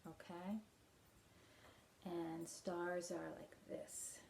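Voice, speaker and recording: Slow, low-voiced woman reading at storytime. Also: little pitch movement, creaky, slight background noise. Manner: gentle, simple explanations addressed to young children. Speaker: children's library storytime reader. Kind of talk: storytime read-aloud and talk to children.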